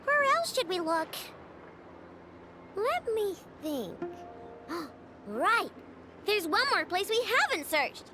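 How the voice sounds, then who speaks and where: Super high perky little girl game character, bouncy delivery, music clearly behind. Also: sing-song, slow, pauses often, heavily acted. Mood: eager, thoughtful. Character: perky, childlike girl companion in a fantasy game. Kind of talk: video game voice acting.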